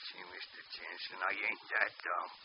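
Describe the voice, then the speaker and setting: An actor playing a slow-witted, stammering male henchman in a 1940s radio drama, on a noisy old broadcast. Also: low-pitched, fairly even intonation, natural voice. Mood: timid. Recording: dull old radio broadcast with background noise.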